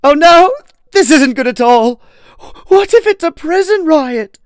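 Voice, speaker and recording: Woman acting a timid, expressive little plant creature. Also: sits high, unhurried, dry recording, studio quality. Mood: anxious. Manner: fretful, earnest, polite. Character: timid, chatty, naive small plant-folk Sylvari. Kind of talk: audio drama acting.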